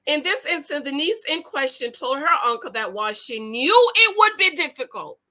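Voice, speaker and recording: A woman giving casual commentary as she reads and reacts to a news story, with a loud, excitable voice that carries. She talks in an animated, preachy way, with emphatic repetition and a Pentecostal preacher's energy. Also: sits high, moderate pace. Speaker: YouTube commentator on money and marriage.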